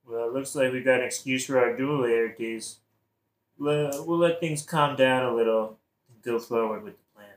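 A man's voice: mid-range voice, normal speed, natural voice. A man playing a scheming, flippant king in a modern comedic audio play. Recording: roomy echo.

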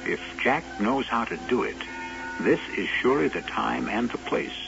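A man hosting and narrating a radio mystery drama in a measured, wry storytelling manner. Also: mid-range voice, animated, vocal fry. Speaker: host narrator. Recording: dull old radio broadcast with music.

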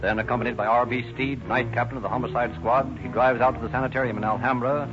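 An actor as a brisk narrator, delivering scripted old-time radio crime narration. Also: male voice, mid-range voice, fairly even intonation, music in the background, natural voice. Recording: muffled old radio recording.

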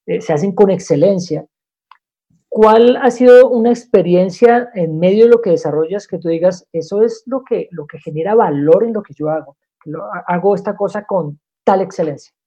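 Man, interviewer, dark tone, rambling question, lo-fi call. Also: high voice, normal speed. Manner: rambling, searching phrasing.

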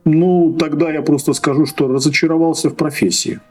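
Husky guy in his 30s from russia, halting chatty guest, keeps restarting, laughs a lot. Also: a little high, steady tone, soft background music. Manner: hesitant, with restarts and frequent laughs. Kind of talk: casual podcast chat.

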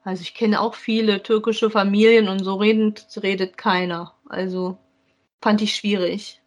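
A woman with a medium-pitched voice speaks in a casual podcast style. She is informal and forms her opinions aloud as she goes. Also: little pitch movement, moderate pace. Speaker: podcast co-host. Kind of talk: casual conversational review of audio dramas.

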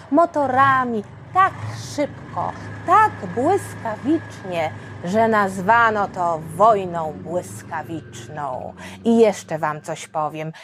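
A woman narrating a history story for children in a conversational, explanatory way with dramatic emphasis. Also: fairly high pitch. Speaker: children's history podcast host.

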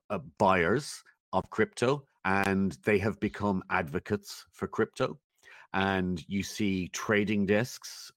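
Guy with a low deep voice, thoughtful interview answers with pauses, really dry sound. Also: fairly bright tone, dry recording. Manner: thoughtful, measured, halting with pauses. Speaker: interview guest, technology commentator. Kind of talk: conversational interview, giving considered opinions.

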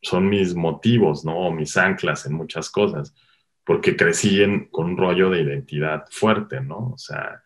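A middle-aged Mexican man with a Mexican accent, a guest filmmaker talking animatedly in a casual interview, full of quick asides. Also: low voice. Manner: animated storyteller, quick asides, lots of "o sea".

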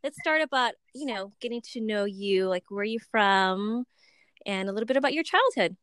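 A woman's voice: medium pitch, lively intonation, normal speed, fairly bright tone, smooth, dry recording, studio quality. Bubbly woman hosting a chat show. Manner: bubbly, upbeat, gushing. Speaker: podcast host. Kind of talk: casual interview chat.

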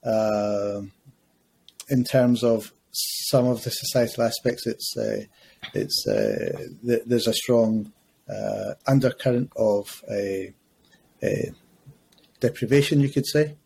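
Measured man in his fifties. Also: on the low side, expressive, vocal fry, a little mellow, a little hiss or hum. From Scotland. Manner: measured, reflective, frequent hesitations. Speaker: podcast guest, educator. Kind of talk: conversational podcast interview.

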